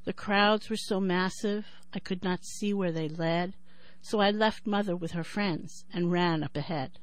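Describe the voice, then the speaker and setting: A woman in her sixties with a New York accent who talks thoughtfully with many pauses and then reads her poem in a measured rhythm. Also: on the low side, no echo. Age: 60s. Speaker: poet and writer. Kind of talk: interview and poetry reading.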